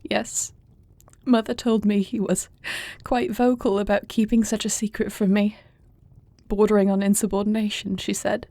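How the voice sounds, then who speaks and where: A woman's voice: medium pitch, flat delivery, moderate pace, warm tone, dry recording, lightly acted. A woman playing a grieving young warrior princess about to become queen, speaking formally and earnestly in an intimate fantasy audio roleplay. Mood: composed, sorrowful. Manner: formal, earnest archaic phrasing.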